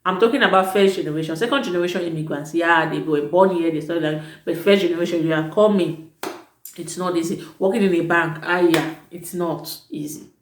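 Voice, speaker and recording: A woman's voice: fairly low pitch, a little fast, slight background noise. A woman who works as a teacher, giving casual advice in a vlog, chatty and emphatic, often correcting herself mid-sentence. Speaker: vlogger advising prospective students; teacher at an international school. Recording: echoey room.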